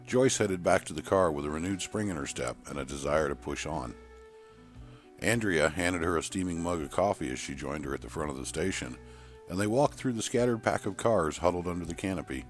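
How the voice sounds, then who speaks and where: A man's voice: sits low, expressive, brisk, faint music behind. A man reading a story aloud as dramatic, scripted narration. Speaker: storyteller narrating horror fiction.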